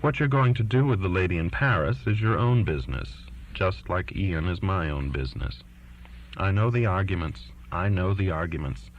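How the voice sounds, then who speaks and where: Earnest young daughter, read by a low-voiced man, lo-fi. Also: animated, moderate pace. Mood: frank, insistent. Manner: pleading, insistent. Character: earnest, defiant twenty-year-old daughter writing to her father. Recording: dull lo-fi recording.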